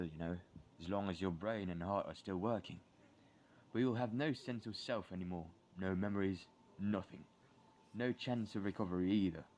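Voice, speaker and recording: A man playing a gentle, thoughtful schoolteacher in an audio drama, with a measured, kindly way of talking. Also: low voice, a little hiss or hum, lightly acted. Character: gentle, thoughtful male schoolteacher mentoring a pupil. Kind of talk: fan audio drama acting.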